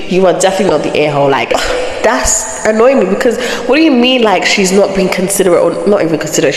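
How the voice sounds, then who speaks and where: A woman hosting a crochet channel, chatting with a blocked, nasal voice from a cold, reacting animatedly and laughing in disbelief. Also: lowish voice. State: has a cold, blocked nose. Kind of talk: chatty reading and reacting to online posts. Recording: echo effect with long reverb.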